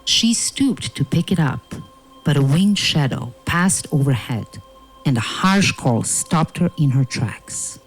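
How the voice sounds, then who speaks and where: A man narrating a fairy tale from a script in a measured, dramatic, deliberate way. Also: mid-range voice, rough recording.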